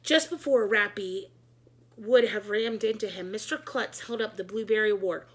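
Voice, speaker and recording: A woman reading a children's book aloud as storyteller, in an expressive narrating style. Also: mid-range voice, normal speed.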